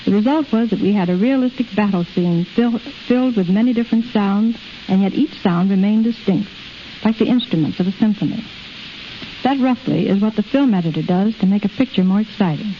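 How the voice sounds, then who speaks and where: A woman's voice: mid-range voice, brisk. A middle-aged woman reading scripted answers as a guest in a 1930s radio interview. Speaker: film editor, interview guest. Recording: muffled, hissy 1930s radio recording.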